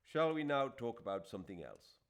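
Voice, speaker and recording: A man voicing a proud, wounded Indian doctor in a novel, speaking formally and quietly. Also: medium pitch, sing-song, brisk, pitched above the performer's own voice. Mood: proud, melancholy. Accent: British. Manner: quiet, formal, literary dialogue.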